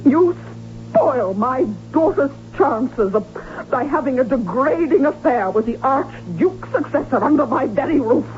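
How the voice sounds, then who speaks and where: A woman's voice: sits high, lively intonation, unhurried. An actress playing an indignant, aristocratic mother in a radio drama, imperious and declamatory. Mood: outraged. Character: outraged haughty archduchess, indignant aristocratic mother. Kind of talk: old-time radio drama acting. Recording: dull old radio recording, hissy, music.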